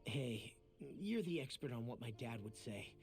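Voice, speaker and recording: A man's voice: mid-range voice, very animated, normal speed, lightly acted. An actor playing an earnest, self-doubting young male fighter in a video game, speaking in a casual, sincere way. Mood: hopeful. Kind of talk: video game English voice acting. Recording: music behind the voice. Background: game music.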